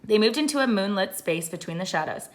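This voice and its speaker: A woman, a teacher, reading a children's adventure story aloud with deliberate, expressive emphasis. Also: mid-range voice.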